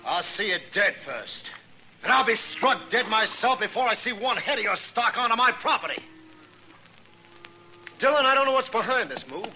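An actor playing a stubborn, combative ranch boss of the Old West in a 1950s radio western, full of defiance. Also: male voice, high-pitched, sing-song, moderate pace, sharp tone, heavily acted, low-quality recording. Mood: angry, defiant. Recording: hissy 1950s radio broadcast.

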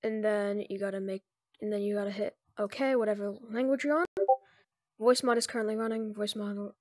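A speaker giving informal, improvised step-by-step instructions in a casual screen-recorded software tutorial. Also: mid-range voice, fairly even intonation, fairly quick, no echo, studio quality. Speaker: tutorial presenter.

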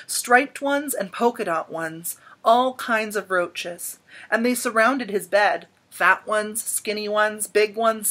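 A woman in her thirties reading a children's picture book aloud in an expressive, dramatic storytelling manner with emphatic pauses. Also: medium pitch. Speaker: storybook reader. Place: small indoor room at home.

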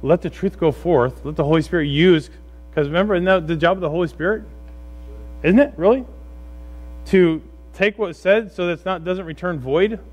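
A man's voice: high-pitched, normal speed. A middle-aged man preaching as a pastor, conversational and exhorting, putting rhetorical questions to his congregation. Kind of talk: sermon to a congregation. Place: church room, speaking from a stage. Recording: steady mains hum and noise.

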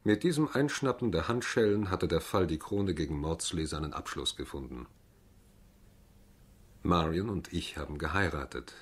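An actor playing a sober, cautious lawyer in a German radio play, with a husky voice. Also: male voice. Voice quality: husky, low. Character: sober, cautious male lawyer narrating his case. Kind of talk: radio play narration and dialogue.

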